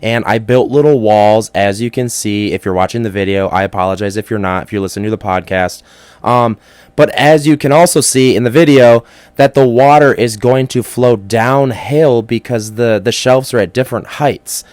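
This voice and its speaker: A hoarse man with a cold hosts a casual solo podcast, chatty and excitable. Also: medium pitch, normal speed, no room sound. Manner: chatty and excitable, with frequent fillers. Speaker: podcast host.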